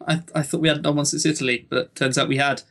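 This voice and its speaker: He is a young man in his early twenties with a fairly high voice. He talks casually as a podcast co-host, joking as he goes. His banter is tongue-in-cheek and often at his own expense. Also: level tone, fast, fairly bright tone.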